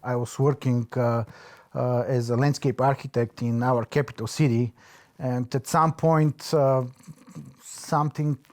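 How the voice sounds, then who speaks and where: A man with a Bulgarian accent, reflecting in an interview, pausing often to search for his words. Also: mid-range voice, steady tone, darkish tone. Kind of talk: reflective oral history interview.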